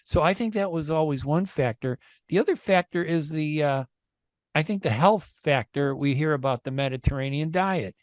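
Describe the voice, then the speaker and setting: A man's voice: on the high side, moderate pace, no echo, clean studio sound. A man hosting a radio talk show, chatting casually and thinking aloud with plenty of fillers and opinions.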